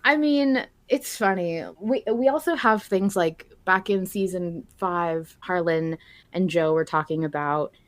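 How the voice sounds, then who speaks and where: Low-voiced woman, close dry podcast sound. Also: measured pace, dry recording. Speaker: podcast host. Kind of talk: casual podcast discussion.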